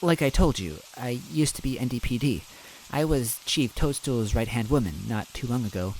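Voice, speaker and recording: A man voicing a tough, spunky young toad woman, a former police officer who is blunt and quick to yell. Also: medium pitch, lively intonation, a little hiss or hum, close to an everyday voice, low-quality recording. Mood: wistful.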